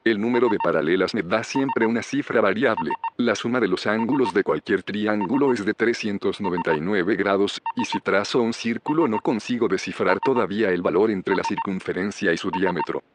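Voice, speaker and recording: A man's voice: lowish voice, fairly quick, hardly any pauses, close to an everyday voice. A man playing an eager, easily amazed scientist in an audio drama, speaking earnestly and technically. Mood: fascinated. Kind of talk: Spanish audio fiction acting. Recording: clearly noisy background.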